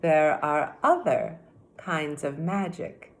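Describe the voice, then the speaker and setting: Woman playing a calm, knowing village witch. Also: sits low, slow pace, slight background noise, voice pushed down. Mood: knowing, forgiving.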